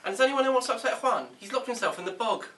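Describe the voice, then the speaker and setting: A woman's voice: on the high side, animated, fast talker, fairly bright tone, recorded from a distance. A woman playing a kind, chatty actress in her late twenties, teasing and protective, in a comedy table read. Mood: breezy, teasing. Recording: roomy off-mic table read, faint noise.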